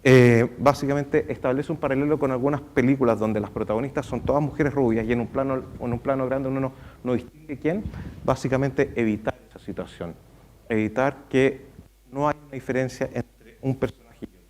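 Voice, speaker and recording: Mellow-voiced bilingual male moderator. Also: mid-range voice, fairly even intonation. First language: Spanish. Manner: halting questions, then fluent translating. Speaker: moderator and interpreter. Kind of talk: hosting a bilingual Q&A. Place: university auditorium.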